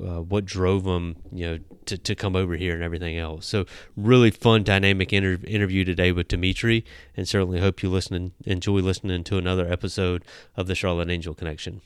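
A man's voice: low-pitched, smooth, no room sound, clean studio sound. A man hosting a podcast interview, chatty and rambling with many fillers. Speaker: podcast host.

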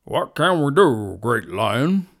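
A man's voice: mid-range voice, big pitch swings, slow, a bit bright, exaggerated voice. A man voicing a cautious, bewildered bear in a storybook tale, asking in a hesitant, puzzled way.

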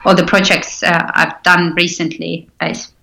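Bright-voiced woman in a roomy space. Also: on the low side, level tone, a little slow, creaky. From Estonia. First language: Estonian. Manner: thoughtful, many hesitations. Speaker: dancer and choreographer guest. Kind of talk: casual interview answers. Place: home in Glasgow. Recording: roomy echo.

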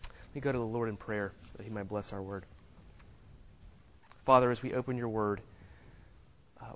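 A man preaching a sermon as a visiting minister in a church, speaking softly with many fillers and pauses, with no microphone. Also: mid-range voice, lo-fi sound. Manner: soft-spoken, halting with frequent fillers. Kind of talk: sermon with scripture reading. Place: church sanctuary. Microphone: no microphone, distant.

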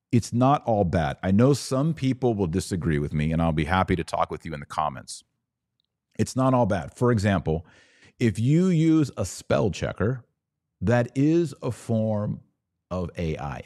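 A man's voice: low voice, darkish tone, no room sound, clean studio sound. A middle-aged American man hosting a religious commentary podcast, speaking off the cuff and stretching key words for emphasis, with conversational asides. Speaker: podcast host.

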